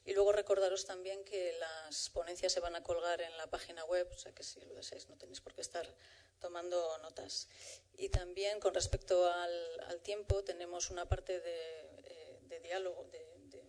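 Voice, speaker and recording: A woman moderates a professional conference, covering practical notes and introducing the first speaker. Her pitch stays flat and even throughout. She speaks in a measured way, often pausing and restarting her sentences. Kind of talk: formal event introduction.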